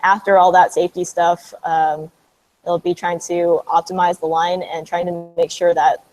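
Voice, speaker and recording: A man's voice: high-pitched, little pitch movement, moderate pace, a little mellow, low-quality recording. A man in his late twenties on a panel, casually explaining his engineering job with lots of fillers. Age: 20s. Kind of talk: panel answers to students.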